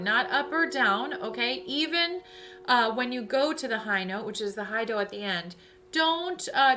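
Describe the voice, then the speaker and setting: High-voiced woman voice teacher with piano. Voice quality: big singing voice. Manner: sings staccato scale syllables then explains technique in a relaxed spoken voice; self-deprecating, apologizes for slips. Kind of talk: vocal exercise lesson with piano. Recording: loud piano under the voice. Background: piano accompaniment.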